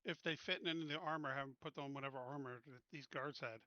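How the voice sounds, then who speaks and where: A man's voice: mid-range voice, fairly even intonation, quick pace, bright, forceful tone, highs cut off. A man chatting casually as a player in a tabletop game, organising the group in a practical, directive way.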